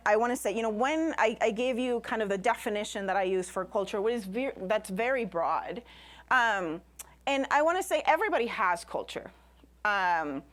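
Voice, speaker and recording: A woman speaks to a live audience as an invited science communicator. Her voice sits at a middle pitch. She is a Spanish speaker and presents emphatically, building points through short listed phrases. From Puerto Rico.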